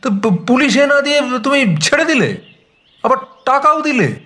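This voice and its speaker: A man narrating a dramatised story in a chatty, expressive way, with conversational, teasing asides. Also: very high-pitched, normal speed. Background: background music.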